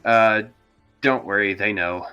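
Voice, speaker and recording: A man's voice: fairly low pitch, smooth, muffled recording, soft background music. A man playing in a tabletop roleplaying game, talking casually and hesitantly. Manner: hesitant, halting.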